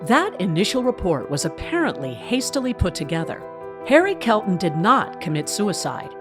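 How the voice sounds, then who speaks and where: A woman's voice: mid-range voice, big pitch swings, normal speed, music in the background. A woman delivering scripted narration for a mock documentary.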